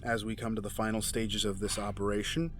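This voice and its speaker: An actor in an audio drama playing a cold, courteous, calculating crime boss with formal, polished phrasing. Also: male voice, lowish voice, flat delivery, fairly quick, faint music behind, lightly acted. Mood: calm, revealing. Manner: formal, urbane, elaborate wording.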